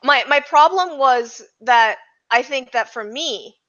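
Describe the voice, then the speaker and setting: Slightly muffled, lo-fi audio carries a woman's bright, fairly high voice. She speaks as a panelist in a casual roundtable, polite and emphatic, often halting while she assembles her point. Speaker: podcast panelist discussing a film.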